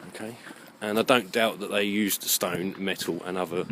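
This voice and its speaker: A man giving a rambling, unscripted monologue in a halting, musing way, often repeating his phrases. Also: sits low, steady tone, fairly bright tone, slight background noise, low-quality recording. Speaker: vlogger.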